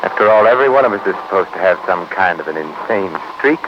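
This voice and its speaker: Low, refined prisoner, old noisy radio. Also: male voice, expressive, quick pace. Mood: bookish, composed. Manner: polite, precise, academic diction. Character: cultured, calm handcuffed male prisoner, quietly obsessive. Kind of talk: 1950s radio drama acting. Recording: noisy, muffled 1950s radio recording.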